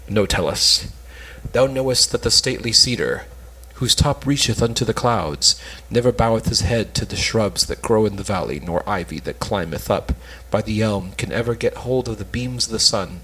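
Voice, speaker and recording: A young man plays a lovesick, lofty courtier with a slightly low, husky voice. He declaims his devotion in measured Elizabethan phrases, as in an amateur reading of a stage comedy. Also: pushed and bright, rough recording. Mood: reverent. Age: young adult. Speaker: student reader in an amateur play reading.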